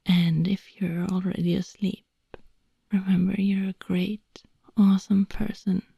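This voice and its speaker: Swedish lady whispering into the mic, husky and mellow, rambly. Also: mid-range voice, flat delivery, a little slow, no echo, studio quality. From Sweden. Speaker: voice actor. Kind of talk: whispered ASMR chat.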